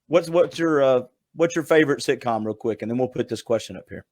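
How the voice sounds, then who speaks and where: A man's voice: mid-range voice, darkish tone. A man, hosting a casual podcast chat on a video call, joking and cutting in on others. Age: 50s. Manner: joking, hurried, talks over others. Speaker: podcast host.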